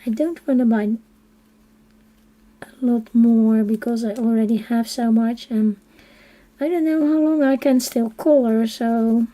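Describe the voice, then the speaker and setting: A woman with a Dutch accent chatting casually as she colors for a live stream, soft-spoken and unhurried, now and then dropping to a quiet half-whisper. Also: fairly high pitch, steady tone, rough recording. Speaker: coloring channel host.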